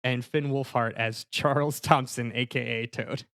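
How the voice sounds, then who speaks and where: A man's voice: medium pitch, steady tone, measured pace, a bit bright, clear, resonant tone, no room sound. A man with a mid-range voice chats as a panelist on a comedy podcast. He talks in a casual, conversational way, and the recording is close and dry.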